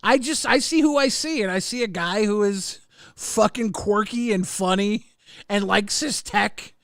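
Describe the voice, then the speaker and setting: A man hosting a casual livestream podcast, rambling and halting, swearing and reading out chat messages. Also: sits high, fairly bright tone, dry recording, studio quality.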